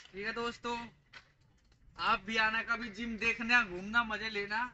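A man's voice: high-pitched, level tone, a little slow, pushed and bright, muffled recording, slight background noise. A young man from India chatting playfully to viewers while trying out outdoor gym machines in a park, recorded on a handheld phone. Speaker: vlogger. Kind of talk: casual vlog chat. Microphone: handheld phone camera, moving around.

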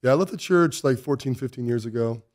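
A man's voice: mid-range voice, fast, darkish tone. A man in his forties with an American accent, talking bluntly and emphatically as an interview guest, close on a studio mic. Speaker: podcast guest, business owner. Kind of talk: conversational interview. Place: indoor podcast studio. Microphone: close studio mic on boom arm.

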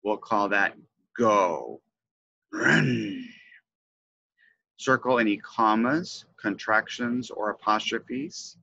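A middle-aged man speaks as a trainer explaining a lesson, in a fairly low but forceful voice. He enunciates key words deliberately and with emphasis. The sound is dry and slightly lo-fi, as from a headset indoors. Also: animated, vocal fry, no room sound. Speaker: teacher trainer presenting a lesson. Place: indoors, webcam presentation.

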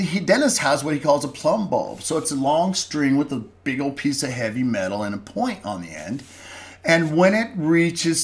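A middle-aged man giving a folksy, plainspoken carpentry lesson, with restarts and self-corrections, recorded at a desk mic indoors. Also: mid-range voice. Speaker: lumber company presenter. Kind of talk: instructional voice-over.

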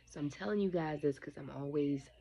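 A woman's voice: low voice, brisk, gravelly, dark tone. A woman in her forties with a stuffy, sniffly voice, bluntly warning her viewers about a company while talking into a phone held close. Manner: blunt, indignant, addresses viewers directly. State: congested, sniffling. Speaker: vlogger. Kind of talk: casual talk to camera.

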